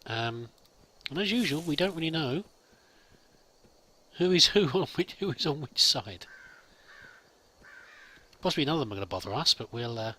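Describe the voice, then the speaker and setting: A man's voice: fairly high pitch, animated, normal speed, long pauses, pushed and bright, breathy edge. A man musing aloud as he plays, improvising in-character commentary and talking to his companion as a let's play host.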